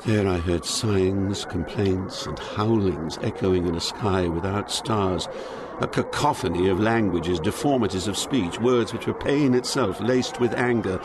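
An actor playing a poet who recounts his journey through Hell in sombre, measured literary narration, atmospheric noise behind him. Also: low-pitched. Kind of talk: dramatised audiobook, literary first-person narration.

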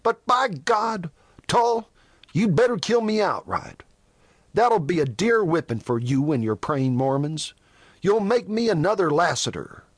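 A man playing a high-pitched, defiant young cowboy. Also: big pitch swings, measured pace, dry recording, full character voice. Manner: passionate, taunting, high-strung. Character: defiant, proud young outcast cowboy, passionate and bitter. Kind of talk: audiobook character dialogue in a western.

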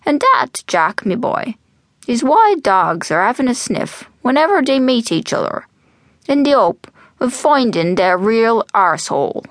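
A woman voicing a mischievous, wheezy old male storyteller in an audiobook, slow and conspiratorial, slipping into whispers. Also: a little high, big pitch swings, no echo, clean studio sound, pitched above the performer's own voice. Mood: amused, conspiratorial. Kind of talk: audiobook character voice.

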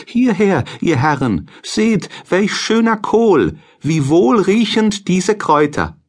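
A man voicing an eager twelve-year-old boy in a fairy tale, calling out wares in a bright, high voice. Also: big pitch swings, slow pace, heavily acted.

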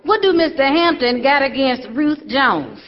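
A woman's voice: sits high, big pitch swings, a little slow, big-room sound, highs cut off. An actress playing a stern, churchgoing mother who scolds in folksy, emphatic speech. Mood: disapproving. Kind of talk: stage drama acting.

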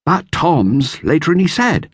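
A man's voice: on the high side, big pitch swings, unhurried, husky, no echo, studio quality, voice pushed up, full character voice. A man voicing Bill, a blunt, bossy young boy, in an audiobook. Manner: blunt, commanding. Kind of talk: audiobook character voice.

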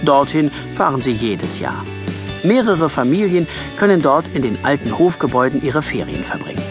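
Guy narrating a kids audiobook, really animated, music playing under it. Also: a little high, moderate pace. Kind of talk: scripted narration of a children's story.

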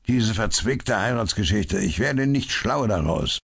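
A man's voice: lowish voice, expressive, measured pace, gravelly, a bit bright, breathy edge, voice pushed up, full character voice. A man reading an audiobook, voicing an indignant, bitter police inspector who barks his words. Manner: blustering and bitter. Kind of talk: audiobook character voice.

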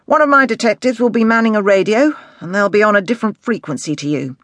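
A man voicing a brash, commanding police detective inspector in an audiobook, with a forceful, blunt delivery. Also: high voice, expressive, quick pace, no echo, voice pushed up. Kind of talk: audiobook character dialogue.

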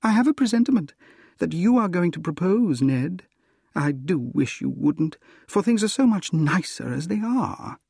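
A man's voice: sits high, big pitch swings, moderate pace, creaky, slightly rough, dry recording, studio quality, voice pushed up, full character voice. A man voicing a lilting, poised young woman. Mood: reproving. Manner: gently reproving, poised. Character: proud, composed young woman gently refusing her suitor.

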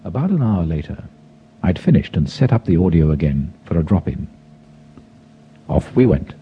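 A man narrating a first-person memoir as a scripted audiobook, with a husky voice and dramatic, deliberate storytelling phrasing. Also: low-pitched, sing-song, a little hiss or hum. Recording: dull lo-fi audio.

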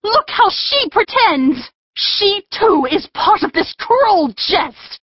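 An actress playing a wounded, fiery young maiden in love in a Shakespeare audiobook, with melodramatic, declamatory speech. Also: female voice, very high voice, sing-song, takes it slowly, dry recording, lo-fi sound, exaggerated voice. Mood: betrayed. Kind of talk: full-cast Shakespeare audiobook acting.

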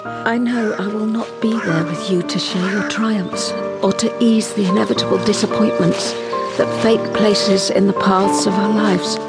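A woman's voice: medium pitch, natural voice. An actress playing a wistful, headstrong lady of the manor in a radio period drama, speaking in formal, literary phrases.

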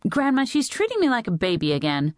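Audiobook role: indignant teen girl, voiced by a woman, higher-pitched, argumentative, insistent. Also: very animated, moderate pace, dry recording, studio quality. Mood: pleading, aggrieved. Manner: heated, insistent, protesting. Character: stubborn, indignant teenage girl arguing with her mother. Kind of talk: audiobook character voice.

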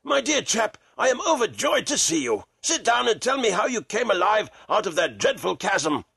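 A man voicing a devoted, excitable gentleman companion in a storybook, speaking emphatically and with exclamation. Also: high-pitched, normal speed, vocal fry, husky, dry recording, studio quality, heavily acted. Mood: amazed, overjoyed.

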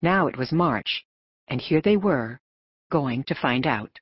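A woman's voice: a bass voice, animated, a little slow, husky, no echo. A woman narrating a children's novel from a script as an audiobook reader. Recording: dull, narrow lo-fi audio.

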